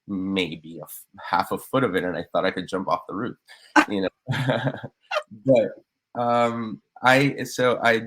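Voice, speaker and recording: A man's voice: sits low, fairly bright tone. A man in his thirties from Cuba, raised in Miami, talking earnestly as a guest on a podcast, with many fillers and restarts. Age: 30s. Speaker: guest, business owner. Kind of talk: casual podcast interview.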